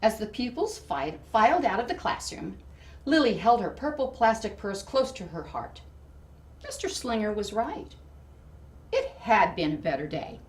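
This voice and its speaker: A woman reading a children's picture book aloud expressively, with dramatic emphasis and hushed asides to her listeners. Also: medium pitch, very animated, normal speed. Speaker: storybook reader. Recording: distant mic, faint background noise.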